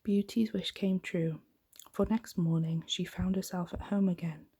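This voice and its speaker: A woman reading a bedtime story in a soft-spoken ASMR style, hushed, gentle and breathy. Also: lowish voice, steady tone, measured pace.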